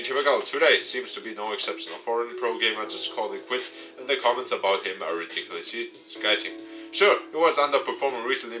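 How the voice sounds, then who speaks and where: A man, a gaming YouTuber, reads visual novel text aloud with his own asides, stumbling over words at times. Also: on the low side. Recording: music playing behind the voice.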